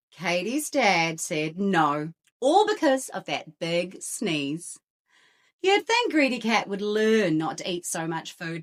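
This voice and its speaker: A woman hosting an expressive read-aloud for young children, with dramatic, playful emphasis. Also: medium pitch. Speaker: storyteller and host for young children.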